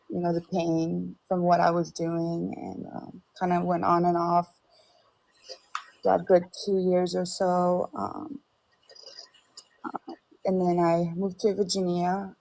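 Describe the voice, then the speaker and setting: Young woman guest from Ukraine; low, slow, halting delivery with a creaky edge. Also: rough recording. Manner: halting, hesitant, soft-spoken. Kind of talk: personal testimony. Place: remote call.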